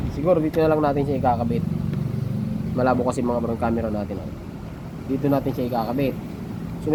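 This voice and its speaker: Filipino male tutorial host, slightly high voice, informal and buddy-like, recorded amid clear ambient noise. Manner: informal, addresses viewers as bro. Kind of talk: casual hands-on tutorial chat. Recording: noisy vehicle and street ambience.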